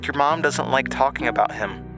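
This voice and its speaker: A man voicing a gentle, wistful, free-spirited woman in an audio drama, speaking philosophically and hesitantly. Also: medium pitch, normal speed, pitched above the performer's own voice, natural voice. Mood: sad, wistful. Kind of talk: quoted dialogue in audio drama. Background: music.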